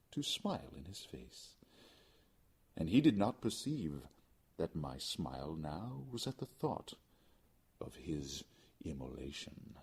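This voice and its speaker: A man's voice: extremely low pitch, a little mellow, breathy edge. A man narrating a gothic short story as an audiobook reader, in a formal, theatrical manner.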